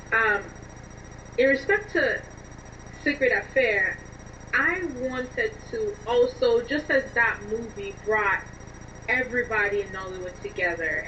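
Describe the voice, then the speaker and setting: A woman answering interview questions conversationally, in an expressive, emphatic way. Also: mid-range voice. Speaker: interview guest, scriptwriter and film blogger. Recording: dull, distant, noisy line.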